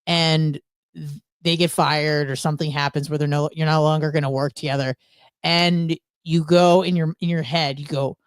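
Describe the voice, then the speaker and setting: A woman's voice: deep voice, little pitch movement, moderate pace, smooth, dry recording, clean studio sound. A woman co-hosting a radio show, chatting casually and telling candid, gossipy stories with rambling asides.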